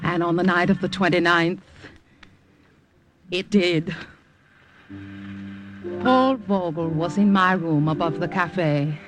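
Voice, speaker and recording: An actress playing a worldly, flirtatious French cabaret singer and secret agent in a radio drama, coy, poised and knowing. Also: female voice, on the low side, takes it slowly, pauses often, a little hiss or hum. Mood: tense, confiding. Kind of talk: old-time radio acting. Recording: vintage radio recording with music behind.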